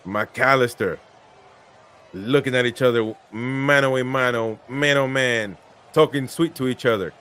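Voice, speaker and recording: A man's voice: mid-range voice, measured pace, a bit bright, smooth. Host: Peru-born man, casual live match chat, reads comments from viewers.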